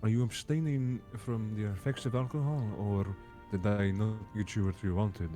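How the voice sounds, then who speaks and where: A man's voice: lowish voice, fairly even intonation, normal speed, mellow, faint music behind. A man improvising roleplay and narration as a game master, with polite, articulate wording.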